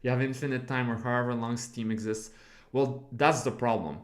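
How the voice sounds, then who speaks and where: A man's voice: mid-range voice, fairly even intonation, moderate pace, reverberant. A man in his twenties chatting casually on a live stream, rambling with lots of fillers and swearing as he answers his chat. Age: 20s. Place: home room.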